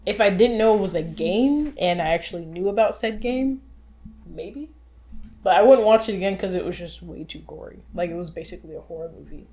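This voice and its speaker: A woman's voice: medium pitch, lively intonation, a little fast, warm tone. A young woman co-hosting a podcast, chatting loosely about movies with lots of fillers and the odd swear. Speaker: podcast co-host. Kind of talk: casual chat reviewing movies.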